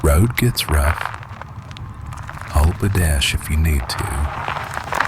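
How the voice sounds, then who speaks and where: Man acting a very deep, gruff werewolf rancher. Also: animated, very noisy, heavily acted. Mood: low, watchful. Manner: terse, deliberate, commanding. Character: dominant, watchful alpha werewolf rancher, gruff and protective. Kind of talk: slow, intimate ASMR roleplay acting.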